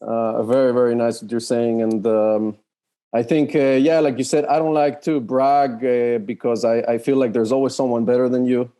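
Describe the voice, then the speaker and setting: Mellow dark voiced guy from mexico city, podcast guest, modest, kinda hesitant. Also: medium pitch, level tone, moderate pace. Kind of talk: casual interview chat.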